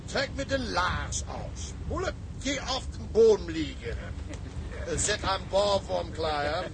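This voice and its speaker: An actor playing a gruff, commanding tugboat captain who barks short orders and mixes in German. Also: male voice, sits high, animated, a little slow. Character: gruff old-time sea captain of a tugboat, mixing in German. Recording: loud engine and sea noise.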